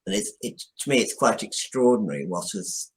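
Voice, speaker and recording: A man's voice: on the low side, expressive, fairly quick. An older man chatting casually as an interview guest, repeating phrases for emphasis. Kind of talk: casual chat.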